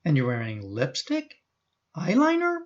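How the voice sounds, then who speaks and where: An actor playing an exasperated, judgmental adult son in a radio play, questioning and insistent. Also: male voice, fairly high pitch, wildly swooping pitch, unhurried, muffled recording. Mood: suspicious, teasing.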